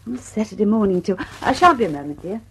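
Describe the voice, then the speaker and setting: An actress in an old radio drama playing a gentle, apologetic, slightly flustered wife with a genteel manner. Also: female voice, mid-range voice, animated, quick pace, slight background noise, lightly acted, rough recording. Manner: genteel, fluttery.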